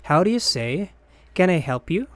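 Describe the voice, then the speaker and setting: A teacher reading short phrases for learners, saying each one deliberately and repeating it. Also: medium pitch, very animated, dry recording, studio quality. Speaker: language teacher.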